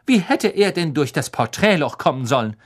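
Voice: mid-range voice, very animated, normal speed. A reader voicing a strict older female professor in a storybook, scolding and indignant. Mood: stern.

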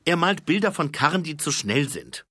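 An earnest young watchman hurries through his report in a raised, bright voice, as a man reading aloud plays him. His delivery is quick and a little reproachful. The read is dry and close. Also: a little high, big pitch swings, dry recording, pitched above the performer's own voice, heavily acted. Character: eager young male watchman, earnest, dutiful.

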